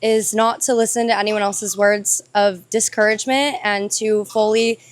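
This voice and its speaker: A woman's voice: medium pitch, fairly even intonation, normal speed. A woman of twenty-three giving earnest, direct advice. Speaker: trip participant.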